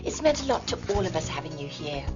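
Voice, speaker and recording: An actress playing a stern, sardonic female head of an animal hospital in a video game, with theatrical, drawn-out emphasis. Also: low-pitched, sing-song. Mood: formal approval. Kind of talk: scripted video game cutscene acting. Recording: dull lo-fi game audio, loud music.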